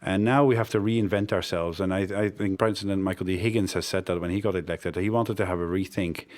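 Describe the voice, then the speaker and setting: Man, from the Netherlands, Dutch native, deep low voice, thoughtful, hesitant. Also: steady tone, a little fast, a little mellow. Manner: thoughtful, hesitant, with fillers and self-corrections. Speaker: interview guest, director of a development network. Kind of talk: reflective conversational interview answers.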